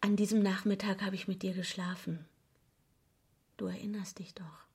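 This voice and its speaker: A wife in a radio drama, baring a secret longing. Her voice is breathy and sits at a middle pitch. She speaks in a hushed, deliberate and intimate way, troubled underneath. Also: fairly even intonation. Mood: hushed, wistful. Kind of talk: radio play acting.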